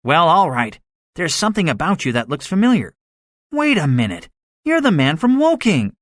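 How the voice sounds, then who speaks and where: A man's voice: fairly high pitch, huge pitch swings, moderate pace, a bit bright, dry recording, clean studio sound, pitched above the performer's own voice. A man voicing a cynical, boastful soldier survivor in a dramatized story, speaking emphatically with a swaggering manner. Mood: surprised, eager. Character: cynical, boastful male soldier, excitable survivor with grand schemes. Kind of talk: dramatized audiobook dialogue.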